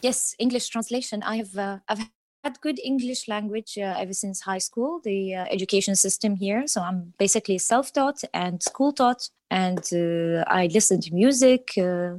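Woman in her 30s, interview guest, halting with fillers, dry close sound. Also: mid-range voice, darkish tone, dry recording. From Iraq. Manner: hesitant, many fillers and restarts, laughs lightly. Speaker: guest, diplomat. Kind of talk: casual interview answers.

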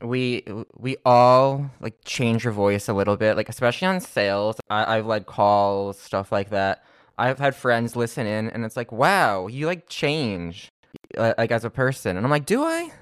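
A man hosting a casual podcast interview, playful, with quick bursts of energy. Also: mid-range voice, expressive, clean tone, no echo, clean studio sound. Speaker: podcast host.

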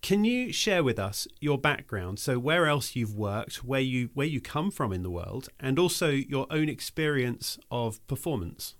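A man hosting a school podcast, with an upbeat, clear presenter delivery. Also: mid-range voice, sing-song, measured pace, few pauses, dry recording, clean studio sound. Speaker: podcast host. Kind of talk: scripted intro then interview. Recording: music bed under intro.

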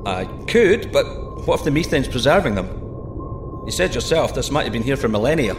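Cheeky astronaut in a scifi radio play, wry and chatty, mid pitch, music underneath. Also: male voice, big pitch swings, brisk. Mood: thoughtful. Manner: informal, wry, conversational. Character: cheeky, curious male astronaut explorer. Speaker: actor. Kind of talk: audio drama acting. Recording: music behind the voice.

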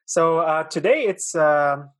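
A man's voice: on the high side, animated, slow, dry recording, clean studio sound. Hesitant, friendly young man from Pakistan. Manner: friendly, hesitant, many fillers and restarts. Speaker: guest, author and podcast host. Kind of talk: casual interview chat.

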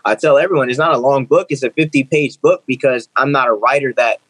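An earnest young man in his twenties talks through his ideas in an interview. He often restarts and repeats phrases as he builds toward a point. His voice is close and dry, with a middling pitch. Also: fast, dry recording, poor audio. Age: 20s. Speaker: guest, author and speaker. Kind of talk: interview conversation.

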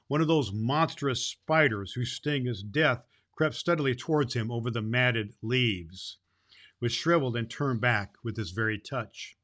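A man reads a children's tale aloud as Santa Claus. His voice is bright and forceful at a middling pitch, and he leans into the words with dramatic emphasis. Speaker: children's story reader presenting as Santa Claus. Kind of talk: storybook read-aloud for children.

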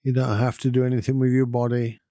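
Mellow man gently guiding a meditation. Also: medium pitch, no room sound, clean studio sound. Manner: calm, soothing, unhurried with long pauses. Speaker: meditation guide and podcast host. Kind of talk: guided meditation, gentle instruction.

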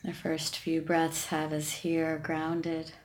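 A woman with a low-pitched voice guides a meditation. Her delivery is very slow and even, with soothing, gentle instructions and long pauses between phrases. She sounds calm and unhurried throughout. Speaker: meditation podcast host and guide.

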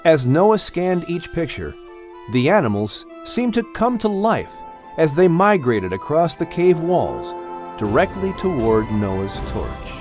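Slow expressive male storyteller. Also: on the high side, music in the background. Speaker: audiobook narrator. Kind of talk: scripted narration.

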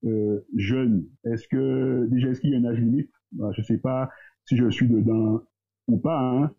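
A man, talking as a guest in a casual discussion, thoughtful and hesitant, pausing often. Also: mid-range voice, steady tone, vocal fry. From Guadeloupe. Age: 30s. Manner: thoughtful, hesitant, with many pauses and fillers. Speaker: guest, entrepreneur. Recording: tinny phone-like line.

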